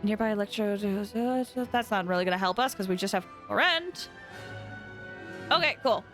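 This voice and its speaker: A woman game streamer giving casual live commentary in an excitable, expressive, giggly way. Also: medium pitch, normal speed, soft background music.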